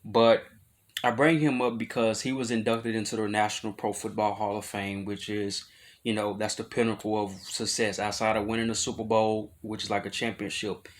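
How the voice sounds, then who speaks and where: A man's voice: flat delivery, normal speed. An adult man, talking casually to his viewers in a loose, rambling way, full of fillers and restarts, rising in volume when he drives a point home. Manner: grows louder and higher when making a point. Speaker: vlogger. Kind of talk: casual talk to camera.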